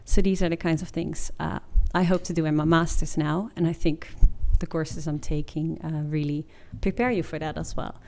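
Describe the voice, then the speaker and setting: A woman's voice: low voice, steady tone, moderate pace, vocal fry, few pauses, warm tone. A woman in her twenties giving an informative presentation in an informal, explanatory way. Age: 20s. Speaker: master's student presenter.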